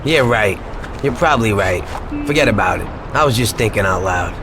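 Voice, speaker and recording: Excitable, scheming American gangster. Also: male voice, fairly low pitch, a little fast, noisy background. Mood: resigned. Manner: streetwise, slangy, informal. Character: excitable, scheming small-time gangster looking for a big score. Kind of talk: video game cutscene acting.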